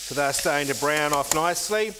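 A middle-aged chef talks through a recipe as he cooks, one step at a time. His voice sits a little high for a man. Faint noise runs under the lapel mic in the teaching kitchen. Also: a little fast. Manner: steady step-by-step explaining while cooking. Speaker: cooking show chef. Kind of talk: cooking demonstration.

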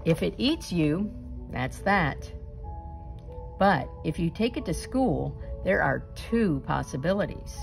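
A woman reading a children's picture book aloud expressively, with deliberate, dramatic emphasis and suspenseful pauses. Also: fairly low pitch, lively intonation, vocal fry, faint music behind.

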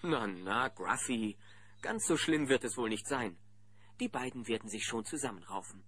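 A man narrating a German children's audio drama as a friendly storyteller, with a husky voice. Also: medium pitch, animated, normal speed, a bit bright. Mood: engaged storytelling.

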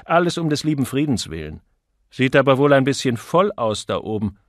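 A male narrator plays a harried office manager in an audiobook. He pleads and insists uncertainly, pitched up, in a dry recording. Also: sing-song, brisk, no echo, lightly acted. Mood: unsure, yielding. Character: harried, insistent but unsure male advertising group manager.

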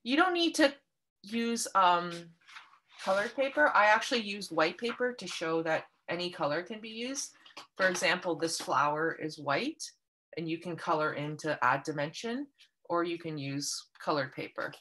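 A woman's voice: on the low side, animated, normal speed, sharp tone, slight background noise. A woman from Canada leading an online paper craft workshop, explaining each step patiently and kindly over a video call. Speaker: art workshop instructor.

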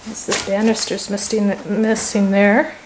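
A woman's voice: medium pitch, little pitch movement, measured pace. Woman exploring indoors, noisy handheld recording. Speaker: urban explorer and host. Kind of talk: casual running commentary while exploring. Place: inside rooms of an empty, abandoned house. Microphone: handheld camera microphone held by the speaker. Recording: handheld camera with clear background noise. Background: ambient noise.

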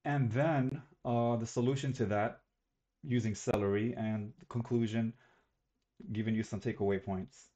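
A man's voice: mid-range voice, steady tone, unhurried, warm tone, dull audio. Young man giving a casual class talk. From Algeria. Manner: casual, self-correcting, slightly rambling. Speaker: student presenter. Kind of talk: recorded class presentation.

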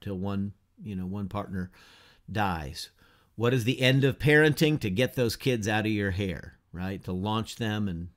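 Middle-aged guy teaching a church class from his study, slow and chatty, lots of "you know" and "right?". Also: lowish voice. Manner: unhurried and conversational, many fillers and rhetorical questions. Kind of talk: informal lecture to an online class. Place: home study lined with bookshelves, whiteboard beside him.

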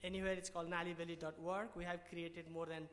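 Young Nepali-accented man, high-ish voice, halting conference pitch on a podium mic, long hall reverb. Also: flat delivery, fairly bright tone. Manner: hesitant, many restarts and fillers. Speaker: award presenter.

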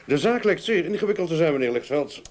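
An actor playing a methodical private detective in a Dutch radio play, inquisitive and businesslike. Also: male voice, on the high side, lively intonation, quick pace, a little mellow, natural voice. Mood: measured, businesslike. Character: probing, methodical male private detective, matter-of-fact. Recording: old radio play recording, background noise.

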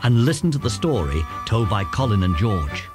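A man's voice: on the low side, sing-song, normal speed, loud music behind the voice. A man reading scripted narration for a children's audiobook drama.

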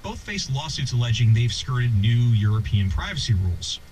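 A man's voice: mid-range voice, big pitch swings, normal speed, heavy background noise. A man reading scripted radio news as a reporter. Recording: distant car radio picked up by phone. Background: music.

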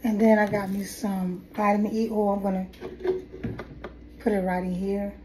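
A woman's voice: medium pitch, level tone, normal speed, mellow, a little hiss or hum. A middle-aged woman with a head cold chatting through a casual hair tutorial at her kitchen table, rambling and restarting as she goes, recorded on a phone. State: has a head cold, coughing. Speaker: hair vlogger. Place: kitchen table at home, indoors. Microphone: phone camera at table.

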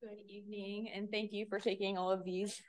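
A woman in her thirties from Pennsylvania, giving an earnest, measured public comment at a municipal commission meeting on a podium microphone. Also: medium pitch, flat delivery. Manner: earnest, measured, reads clearly.

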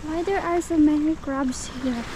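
A woman's voice: high-pitched, unhurried. A young woman from the Philippines, chatting directly to viewers as she walks along a beach with a handheld camera. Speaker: travel vlogger. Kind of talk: casual walking vlog. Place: outdoors on a beach. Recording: noisy outdoor beach audio. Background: waves and surf.

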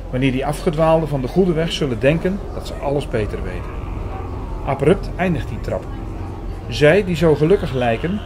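A man playing an earnest first-person narrator in an audio drama, speaking in a measured, formal, bookish way. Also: on the high side, lively intonation, background noise, lightly acted. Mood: grave. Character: Hugo, earnest male first-person narrator reading out prophecy.

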